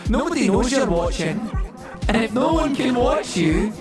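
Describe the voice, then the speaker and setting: A man's voice: extremely high pitch, sing-song, moderate pace. A man performing a brooding personified memory that preaches in rhythmic, incantatory rhyme in an audio play. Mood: seductive, insistent. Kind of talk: poetic spoken-word monologue in an audio play. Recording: very echoey, distant voice under loud music. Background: music score.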